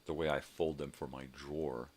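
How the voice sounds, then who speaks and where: An older man with an extremely low voice talks in a loose, rambling way, like a host of a homemade morning vlog. He takes his time and repeats words for emphasis. There is some background noise on the recording. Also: flat delivery, normal speed. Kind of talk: casual rambling chat.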